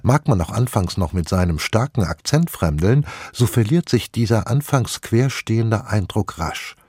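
A man presenting a radio book review as the critic, in a measured, analytical way. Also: lowish voice, animated, a little mellow, breathy edge, no echo, studio quality.

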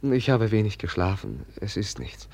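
An actor playing a meek, sincere, troubled prince in a classic German radio play, hesitant and soft-spoken, with a breathy voice. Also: male voice, lowish voice, very animated, moderate pace, heavily acted. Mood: troubled, subdued.

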